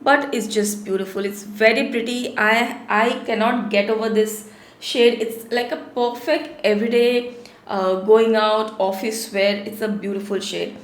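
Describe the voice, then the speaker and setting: A woman in her thirties with a sore throat talks through a product review in a friendly, chatty way, often repeating words for emphasis. Her voice sits a little high. The small room around her gives it a noticeable echo. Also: steady tone, unhurried. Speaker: beauty vlogger. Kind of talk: casual product review to camera. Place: small home studio room.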